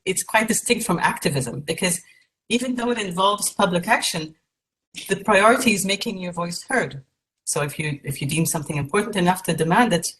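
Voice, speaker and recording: A woman from Egypt gives a keynote lecture over a video call. Her voice sits fairly low, and she speaks in a measured way, pausing on fillers and restarts. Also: fairly bright tone, low-quality recording. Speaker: keynote speaker, patient advocate.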